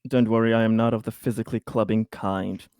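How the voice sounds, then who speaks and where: A man playing a tabletop roleplaying game, speaking in character with measured, rather formal phrasing. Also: fairly low pitch, fairly even intonation, a little mellow, smooth, dry recording, clean studio sound.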